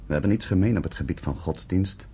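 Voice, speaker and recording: Very deep, grave village headman. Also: fast talker, mellow, a little hiss or hum. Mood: solemn, earnest. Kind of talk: radio drama acting.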